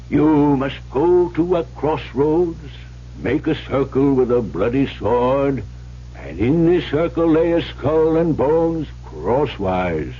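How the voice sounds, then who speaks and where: An actor playing a rustic storyteller in an old-time radio drama, telling a spooky folk tale with relishing, dramatic, old-fashioned diction. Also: male voice, mid-range voice, slightly rough. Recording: muffled vintage radio recording with hum.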